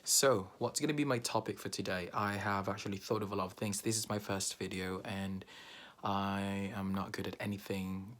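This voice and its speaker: A man from the Philippines, whose first language is Bisaya, talking casually to camera in a hesitant way with many pauses. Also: lowish voice, a bit bright. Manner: hesitant, many fillers and restarts. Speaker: vlogger.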